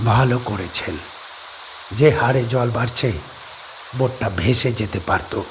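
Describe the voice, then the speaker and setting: A man voicing a reserved middle-aged bungalow caretaker who speaks in a slow, measured, cautioning way. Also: medium pitch, a little hiss or hum, voice pushed down, natural voice. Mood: guarded, polite. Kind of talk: audio drama acting.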